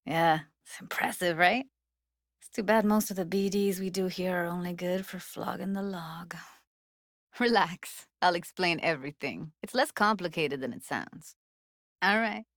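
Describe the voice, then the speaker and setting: A woman's voice: mid-range voice, no echo, close to an everyday voice. An actress voices a young female technician from a video game, streetwise and guarded with a tender side. She talks casually and bluntly, full of slang, in a naturalistic way. The sound is dry, with hardly any room on it.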